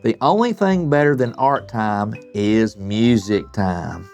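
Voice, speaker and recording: Man reading as an enthusiastic young schoolgirl, lively intonation. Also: fairly low pitch, slow, faint music behind. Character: cheerful enthusiastic young schoolgirl, kind dog owner. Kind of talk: children's storybook read-aloud.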